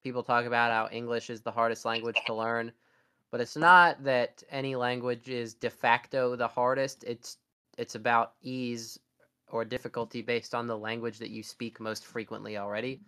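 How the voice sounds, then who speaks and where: A man's voice: mid-range voice, flat delivery, normal speed, bright, forceful tone, smooth. A young man co-hosting a podcast, chatting casually in a rambling, sarcastic way with frequent restarts. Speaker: podcast co-host. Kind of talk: casual chat.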